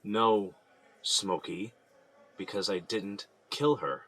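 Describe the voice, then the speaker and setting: A man's voice: lowish voice, takes it slowly, sharp tone, exaggerated voice. An American man playing an earnest, troubled prisoner who insists on his innocence, speaking plainly in an audio drama. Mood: defensive, weary. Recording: noticeable background ambient noise.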